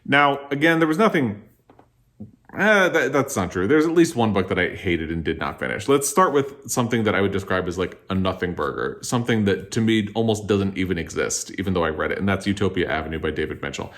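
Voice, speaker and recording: A man with a fairly low-pitched voice talks as the host of a book vlog. His delivery is casual and conversational. He shares opinions candidly but tends to qualify them. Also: lively intonation, a little fast, fairly bright tone. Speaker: book vlogger, host of the video.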